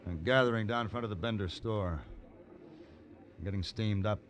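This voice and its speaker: A man playing a cool, fearless hired gunman in an old-time radio western, wry, unhurried and commanding. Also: lowish voice, lightly acted. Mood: wry, calm. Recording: vintage radio recording, crowd murmur behind.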